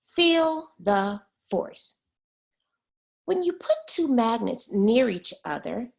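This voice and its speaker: A woman reading a children's science book aloud as a teacher, expressively and pausing to explain words. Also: a little high, creaky, a little mellow.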